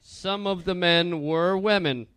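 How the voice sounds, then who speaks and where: A man giving a comedic dramatic reading of fan fiction in a mock-earnest, deliberate storytelling manner. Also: high-pitched, noisy background. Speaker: comedic reader on a stage show.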